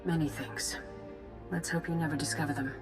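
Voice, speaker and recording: A woman's voice: low voice, a little fast, music in the background, natural voice. A woman playing a grave Jedi grand master in a video game, formal, composed and authoritative. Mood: grave authority.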